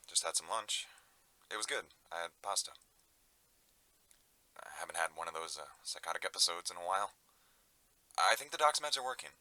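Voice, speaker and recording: A man's voice: sits low, sharp tone, no room sound, full character voice. A man playing a troubled, awkward man reluctantly recording an audio diary, speaking hesitantly. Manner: hesitant, mumbling, sighing reluctance. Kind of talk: audio drama diary monologue.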